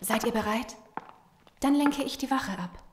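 A woman's voice: a little high, unhurried, lightly acted. An actress playing a frightened but resolute, bitter young woman in a German audio drama. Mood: tense, worried. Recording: echoey room with background noise.

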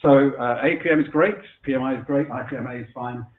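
Middle-aged male keynote speaker, husky from a cold, fillers and restarts; tinny, roomy lecture hall sound. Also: medium pitch, fairly even intonation, quick pace. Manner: chatty, self-deprecating, frequent fillers and restarts. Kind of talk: conference keynote talk. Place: lecture room before a live audience. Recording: echoey room over a phone-like line.

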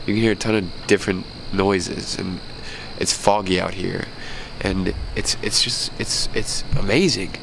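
A man's voice: low-pitched, steady tone, unhurried, creaky, noisy background. A young man in his twenties with a stuffed-up nose, talking earnestly and rambling into a handheld camera outdoors at night. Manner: reflective, earnest, rambling with restarts. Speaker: vlogger. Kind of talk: casual vlog talk to camera. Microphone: handheld camera at arm's length. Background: crickets.

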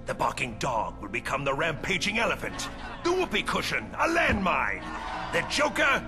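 A mocking clown supervillain for a game, highish voice swinging all over, music behind. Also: male voice, wildly swooping pitch, moderate pace. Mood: grandiose menace. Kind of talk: video game cutscene acting.

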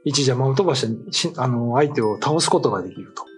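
Animated middle-aged man telling a tale. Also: mid-range voice, fast talker. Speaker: writer and show regular telling ghost stories. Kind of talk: casual storytelling chat. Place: small meeting room, indoors. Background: faint music.

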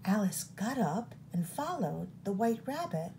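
A woman reading a picture book aloud for storytime, her voice rising and falling expressively. She takes it slowly and deliberately, recorded close on a phone above the page. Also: mid-range voice, big pitch swings, gravelly, warm tone, a little hiss or hum.

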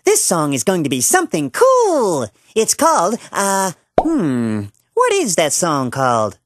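A woman's voice: fairly low pitch, wildly swooping pitch, unhurried, dry recording, studio quality, full character voice. A woman voicing a lazy, flustered young frog puppet in a children's show, hesitant and forgetful. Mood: cheerful, befuddled.

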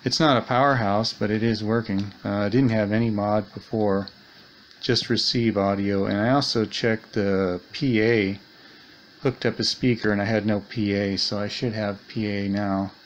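A man's voice: fairly low pitch, steady tone, normal speed, a little hiss or hum. A man giving a casual hands-on repair demonstration at a workbench, thinking aloud a little hesitantly. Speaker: electronics repair hobbyist, host. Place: indoors at a workbench.

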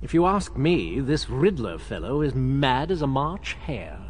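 An actor playing a proper, wry butler in a children's audio drama, formal in manner, in a reverberant sound. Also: male voice, mid-range voice, sing-song, a little slow, a little hiss or hum.

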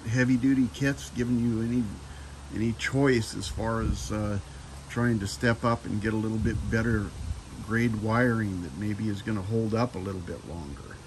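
Slow-talking man reviewing gear outdoors. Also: lowish voice, a little hiss or hum. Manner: unhurried, rambling, many fillers and restarts. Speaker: DIY video maker. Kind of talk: casual product review. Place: outdoors in a sunny driveway.

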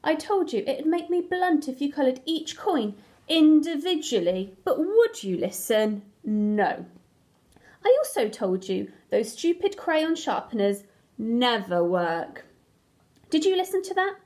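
A woman's voice: high-pitched, big pitch swings, slow, a little mellow, exaggerated voice. A woman voicing an indignant, blunted gold crayon from a storybook, scolding with sarcastic questions. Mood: exasperated. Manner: exasperated, sarcastic rhetorical questions.

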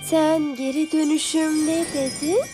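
A woman's voice: sits high, level tone, unhurried. A woman playing an earnest, idealistic teenage monster girl activist in a cartoon dub, speaking emphatically. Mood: preachy. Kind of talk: animated series dub acting. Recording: music behind the voice.

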